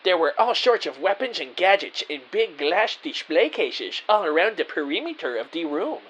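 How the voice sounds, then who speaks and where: Audio drama lead: man acting a naive, excitable detective narrator, theatrical and earnest, husky mid-range voice with big pitch swings. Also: moderate pace, poor audio. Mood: earnest narrating. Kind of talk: first-person scripted audio drama narration.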